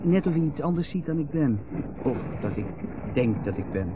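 A man's voice: a little high, lively intonation, normal speed, close to an everyday voice. An actor playing a nervous, hesitant man who rambles and repeats himself, in 1970s radio drama style. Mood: troubled. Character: Joris, nervous chattering man visiting his estranged brother. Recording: dull, noisy 1970s radio recording.

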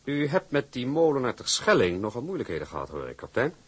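A man playing a formal, businesslike shipping boss with a husky voice in a radio drama. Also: medium pitch, wildly swooping pitch, fast, a bit bright, heavily acted. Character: formal, smooth-talking shipping company boss handing out assignments.

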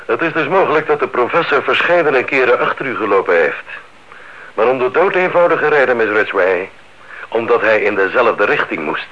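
Unyielding police sergeant played by a male actor, forceful mid-pitched voice, dry official delivery, dull radio recording. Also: normal speed, close to an everyday voice. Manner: matter-of-fact, firm, officially polite. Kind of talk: radio drama acting.

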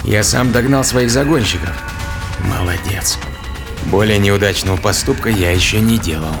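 Guy playing a desperate man on the run in a sci-fi audio play, agitated, self-blaming, loud music under him. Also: lowish voice, moderate pace, lightly acted. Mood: self-reproaching. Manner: agitated, muttering to himself in exclamations. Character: hunted man, desperate, self-reproaching, sardonic sci-fi hero. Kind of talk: audio drama acting.

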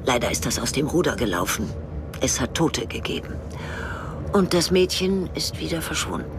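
An actor playing a confident, sardonic intelligence official in a radio drama, explaining in clipped, sometimes hushed phrases. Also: male voice, medium pitch, big pitch swings, normal speed, background noise.

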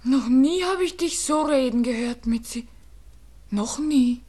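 A woman's voice: high voice, a little slow, dark tone. An actress playing an earnest, wounded young Viennese girl in love, pleading in a radio drama. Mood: anxious, hurt. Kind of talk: 1960s German radio drama acting.

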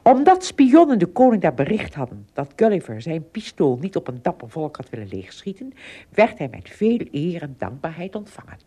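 A woman with a husky voice narrating an adventure radio play as scripted storybook narration. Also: on the low side, big pitch swings, normal speed, warm tone.

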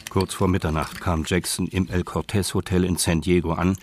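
A man's voice: deep voice, level tone, normal speed, noisy background. A man giving scripted narration for a radio crime drama.

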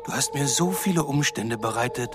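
A man's voice: medium pitch, expressive, fairly quick, over loud music, close to an everyday voice. An actor playing a gloating, sadistic villain in a radio drama, mocking and theatrical as he savours his words. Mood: bored cruelty. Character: gloating, sadistic male villain toying with captives. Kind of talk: German radio drama acting.

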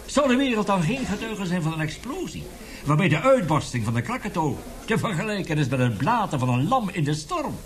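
Embittered, animated professor, higher-voiced man. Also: brisk. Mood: indignant, bitter. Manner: emphatic, indignant, lecturing, rhetorical questions. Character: embittered, passionate male professor warning of catastrophe. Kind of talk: radio drama acting.

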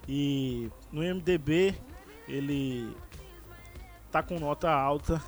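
A man's voice: a little high, takes it slowly, soft background music. A man hosting a casual podcast about films, talking with many fillers and restarts. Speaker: podcast host. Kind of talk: casual movie chat.

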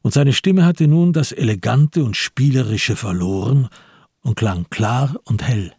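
A male narrator with a very husky, creaky low voice tells a crime story for radio. His delivery is slow, grave and measured. The studio sound is very dry. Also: dark tone, dry recording. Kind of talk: scripted literary narration for a radio crime drama.

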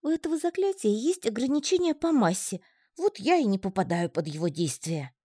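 A woman's voice: fairly high pitch, big pitch swings, mellow, husky, dry recording, clean studio sound, voice pushed up. A woman voicing a tiny, lonely male spider who talks in a plaintive, pleading way for an audiobook. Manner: plaintive, pleading, quick to take offence. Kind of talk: audiobook reading.

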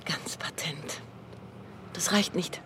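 A woman's voice: fairly low pitch, slow, fairly bright tone, whispered. An actress playing a lonely, self-doubting single woman, hesitant and subdued, in a radio drama. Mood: downhearted. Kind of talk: German radio crime drama acting. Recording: clear background noise, vehicle ambience.

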